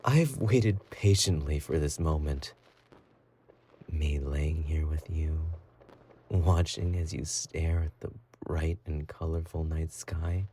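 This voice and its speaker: Guy acting as creepy sweet yandere boyfriend, low breathy voice, talks super slow, possessive and cooing, roleplay audio. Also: dark tone, a little hiss or hum. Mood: adoring, tender. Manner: slow, intimate, cooing with giggly menace. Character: obsessive, possessive yandere boyfriend, doting yet menacing. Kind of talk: romantic roleplay audio drama.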